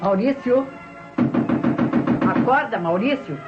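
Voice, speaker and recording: A woman's voice: a little high, animated, takes it slowly. Familiar Brazilian landlady, muffled recording. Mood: concerned. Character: kindly, familiar landlady of a boarding house. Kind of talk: old radio drama acting. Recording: dull old radio recording with music.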